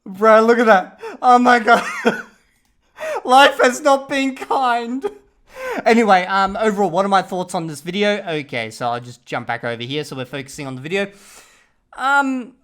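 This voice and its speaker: A man's voice: sits high, expressive, normal speed, fairly bright tone, smooth. A man giving casual reaction commentary on a video, blunt and profane, with laughing asides.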